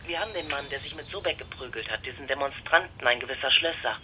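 An actor playing an eager, matter-of-fact police colleague in a German radio crime drama, with a businesslike delivery. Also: male voice, medium pitch, quick pace, close to an everyday voice. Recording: clear background noise.

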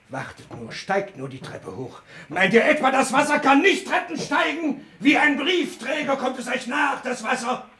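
An actor playing a wrathful, pious older patriarch in a German radio play, declaiming ominously like scripture. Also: male voice, extremely high pitch, sharp tone, full character voice.

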